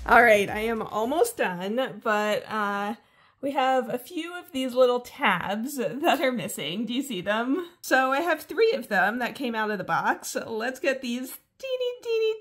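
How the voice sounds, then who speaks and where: A woman's voice: a little high, very animated, measured pace, soft background music. A young woman vlogging about jigsaw puzzles in a chatty, upbeat way, laughing often, still a little sniffly from a cold. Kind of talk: casual chat to camera. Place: indoor studio with shelves.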